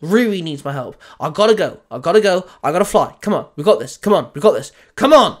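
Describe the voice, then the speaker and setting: An eager young male hero, read by a man narrating, earnest and restless. The voice sits a little high, in an easy storybook delivery. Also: moderate pace, fairly bright tone, lightly acted. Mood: determined. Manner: earnest, self-encouraging.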